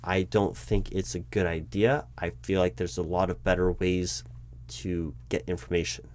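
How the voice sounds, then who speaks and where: A man playing a frank, exasperated corporate recruiting manager in a one-sided audio roleplay, explaining things haltingly and correcting himself as he goes. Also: deep voice, level tone, a little mellow, lightly acted. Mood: flustered, objecting.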